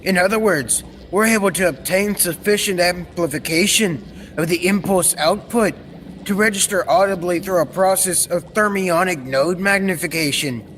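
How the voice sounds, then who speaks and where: A man's voice: sits high, moderate pace. A young man playing an earnest, proud scientist inventor in an old-time radio serial, explaining things formally. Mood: earnest, explanatory. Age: teenager or young adult. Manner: formal, explanatory, technical delivery. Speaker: student actor. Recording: reverberant hall, steady background noise.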